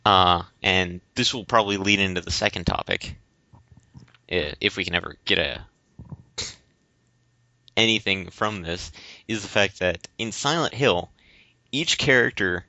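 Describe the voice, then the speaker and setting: A man with a fairly low, bright voice talks casually as a podcast co-host. He feels his way through explanations, halting and rambling. The sound is close and dry but somewhat dull and lo-fi. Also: no room sound. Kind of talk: casual discussion. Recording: dull, lo-fi voice recording.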